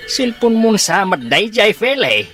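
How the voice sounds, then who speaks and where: A woman's voice: medium pitch, sing-song, unhurried, a bit bright, faint music behind. A woman playing an easygoing Filipino father in a radio drama. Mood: amused. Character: easygoing old father of the family.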